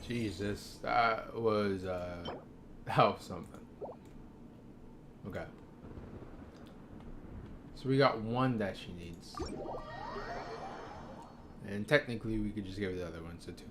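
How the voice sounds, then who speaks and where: Low-voiced man casually commenting on gameplay. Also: slow, pauses often, background noise. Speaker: game streamer.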